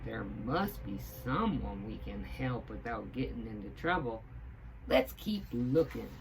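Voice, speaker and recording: A woman's voice: very deep voice, very animated, unhurried, slight background noise, pitched below the performer's own voice, heavily acted. Woman voicing an earnest, plaintive talking storybook hat. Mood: puzzled, hopeful. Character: eager, well-meaning talking hats, puzzled and easily dismayed.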